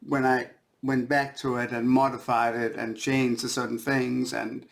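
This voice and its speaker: A man from Denmark, a native Danish speaker with a Danish accent, talks as an author in a halting way, searching for words and repeating phrases. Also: medium pitch, flat delivery, creaky. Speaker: indie author. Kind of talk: talking to camera about own writing.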